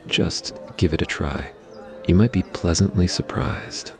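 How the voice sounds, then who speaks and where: A man voicing a smug, affectionate mafia boss in a romantic ASMR roleplay, soft and teasing. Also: deep voice, unhurried. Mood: gentle, reassuring.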